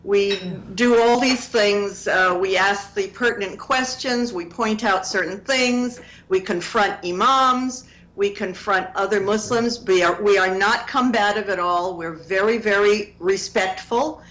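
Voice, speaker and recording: A woman in her seventies from Connecticut, a guest explaining her activist group in slow, earnest interview answers. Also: medium pitch, a bit bright, poor audio. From Connecticut, USA.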